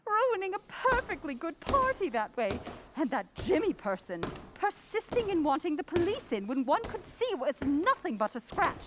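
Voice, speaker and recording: A woman's voice: extremely high pitch, sing-song, normal speed, noisy background. A woman playing a haughty, domineering, indignant wife who scolds in an old-time radio drama.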